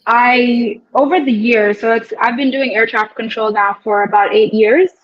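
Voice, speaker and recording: Woman guest, high voice, dull dry sound. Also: fairly even intonation, moderate pace, slight background noise. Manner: reflective, storytelling with quoted asides. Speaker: guest, air traffic control officer. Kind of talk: casual interview chat.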